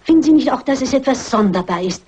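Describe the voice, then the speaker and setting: An older woman playing a shrewd, persistent amateur sleuth in a radio crime play, husky, polite but insistent and wry. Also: sits high, expressive, fast talker, a little mellow.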